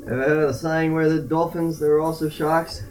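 A man's voice: fairly high pitch, level tone, fairly quick, a little hiss or hum, lightly acted. A man acting in an amateur audio drama as a cautious, nervous diver with a casual, wary way of talking.

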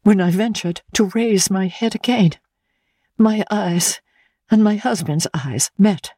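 A woman voicing a frightened, gentle young wife who pleads in distress, in a dramatic reading of a classic novel. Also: mid-range voice, slow pace, no echo, studio quality. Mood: anxious, wounded.

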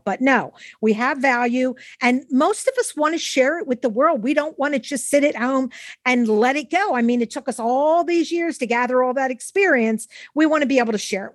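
A woman's voice: sits high, dry recording, studio quality. A middle-aged or older woman hosting a conversational interview in an encouraging, affirming way. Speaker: podcast host.

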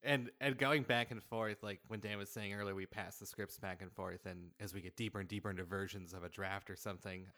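A man's voice: fairly low pitch, fairly even intonation, brisk, hardly any pauses, sharp tone, no room sound, clean studio sound. A man speaking as a podcast guest in casual chat, telling anecdotes by acting out what people said. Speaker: podcast guest, audio drama writer-director.

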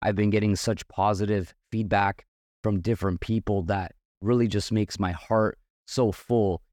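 Middle-aged guy doing his podcast solo, hoarse and kinda losing his voice, low and dry. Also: fairly even intonation, measured pace, darkish tone, dry recording. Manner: earnest, motivational, with many restarts. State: losing his voice, slight cough. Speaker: podcast host.

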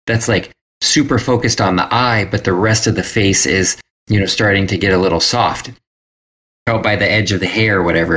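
A man's voice: fairly low pitch, fairly bright tone. A man chatting casually as an interview guest, informal and given to acting out bits of dialogue. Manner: informal, acts out remembered dialogue with "like". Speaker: guest, self-published creator and photographer. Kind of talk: casual chat.

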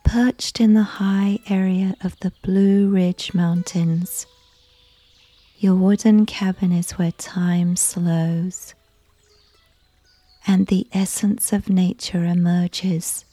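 A woman softly narrating a guided sleep visualization in a breathy, often near-whispered voice with a gentle, unhurried, soothing delivery. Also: lowish voice, level tone, no room sound. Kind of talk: slow scripted sleep visualization, soft and whispery.

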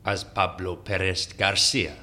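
Blustering older president, bright, forceful voice. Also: male voice, sits low, fairly even intonation, a little slow, full character voice. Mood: boastful. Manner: grandiose, blustering. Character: vain, boastful male Latin American president. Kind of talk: audio fiction acting.

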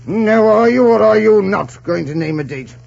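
An actor playing an imperious, scheming colonial governor, pompous and stammering, in 1940s radio drama style. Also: male voice, high voice, animated, normal speed, vocal fry, darkish tone, exaggerated voice. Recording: muffled 1940s radio recording.

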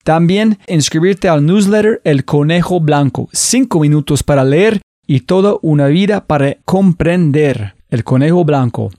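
A male podcast host in casual interview style, animated and curious, asking questions in quick bursts. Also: fairly high pitch.

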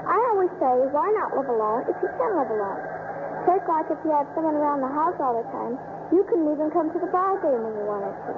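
A woman's voice: sits high, animated, quick pace. An actress playing an earnest, innocent eight-year-old orphan girl in a 1950s radio drama. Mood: earnest, polite. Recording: muffled, noisy old radio broadcast.

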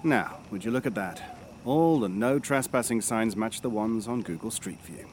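An actor playing a polite, smooth-talking fixer in an audio comedy drama. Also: male voice, lowish voice, normal speed, slight background noise, close to an everyday voice. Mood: wry. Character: suave, courteous male fixer posing as publisher's agent. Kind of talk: audio fiction comedy acting.

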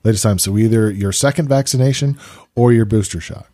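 A man chatting casually as a guest on a podcast, relaxed and laughing now and then. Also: fairly low pitch, fairly quick. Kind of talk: casual chat.

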